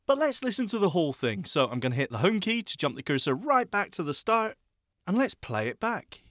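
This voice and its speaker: A man's voice: high voice, brisk, no room sound. Male tutorial host, chatty and self-deprecating, dry close-miked sound. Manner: chatty, self-mocking, animated asides. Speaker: podcast host teaching audio editing. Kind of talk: screen-reader software tutorial.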